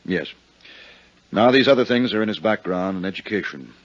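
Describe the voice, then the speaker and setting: A man with an American accent acting as a haggard, worried, soft-hearted lawyer in a 1950s radio drama, earnest and hesitant. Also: fairly low pitch, expressive, normal speed, close to an everyday voice. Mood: earnest, explaining. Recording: muffled vintage radio recording.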